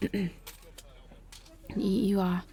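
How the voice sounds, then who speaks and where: Woman voicing a reserved female vampire, audio drama, low mellow tone, even delivery, hushed, halting, formal. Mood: hesitant, tender. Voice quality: breathy, hushed. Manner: halting, careful, formal diction without contractions. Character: gentle, reserved female vampire travelling with a knight. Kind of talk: roleplay audio drama addressed to the listener.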